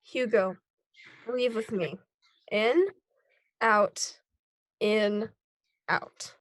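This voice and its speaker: A woman playing a calm, reassuring superhero team leader in a table read of a scripted drama. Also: mid-range voice, drawn-out words, no room sound, poor audio. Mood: gently soothing.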